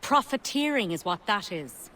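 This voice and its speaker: A woman's voice: medium pitch, sing-song, moderate pace, sharp tone. Game role: working-class woman, streetwise and blunt-talking, recruiting allies; noise behind the voice. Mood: confiding, indignant. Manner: plain-spoken, colloquial. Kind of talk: video game dialogue.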